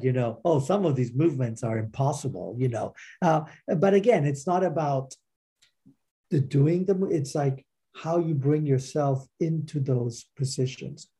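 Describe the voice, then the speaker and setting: A middle-aged man, a teacher and author being interviewed, explains his practice informally in halting, circling explanations. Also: mid-range voice, warm tone, slightly rough. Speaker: interview guest, meditation movement teacher and author.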